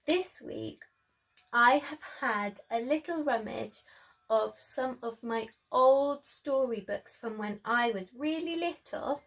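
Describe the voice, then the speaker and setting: Slow, high-voiced woman at story time. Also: fairly bright tone. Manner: encouraging, addressing small children. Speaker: preschool story-time presenter. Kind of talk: storytelling for young children.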